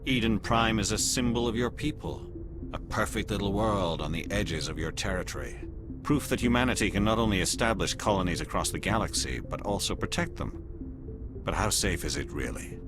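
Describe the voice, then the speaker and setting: A man acting a cool, probing alien agent in a video game, deliberate and insinuating. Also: on the low side, close to an everyday voice. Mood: probing, ominous. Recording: loud music behind the voice. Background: music.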